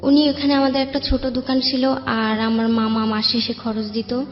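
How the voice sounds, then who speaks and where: A woman's voice: fairly high pitch, monotone, normal speed. A woman from Bangladesh with a Bangladeshi accent, telling her personal life story as an interview guest. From Bangladesh, raised in Dhaka. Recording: muffled, echoey room with music bed.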